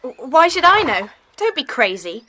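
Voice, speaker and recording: Curt, high-voiced stable kid. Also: female voice, sing-song, normal speed, pushed and bright, full character voice. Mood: defensive. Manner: curt, defensive. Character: defiant, evasive runaway girl disguised as stable boy. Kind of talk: children's audio drama acting. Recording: faintly hissy old cassette.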